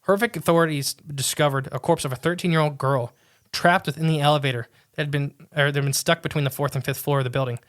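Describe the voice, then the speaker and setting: A man's voice: on the high side, fairly even intonation, fast. Stumbling man reading a story aloud. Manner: stumbles and restarts often while reading. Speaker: podcast co-host.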